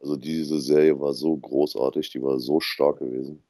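A man talks casually as a podcast guest. His voice is low and mellow, and he hesitates often. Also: steady tone, moderate pace, dry recording, clean studio sound. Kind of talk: casual nerd chat.

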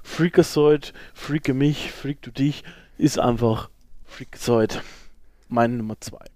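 A man's voice: medium pitch, slow, a little mellow, no room sound, clean studio sound. A man chatting informally as a podcast co-host. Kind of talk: casual chat, ranking old cartoons.

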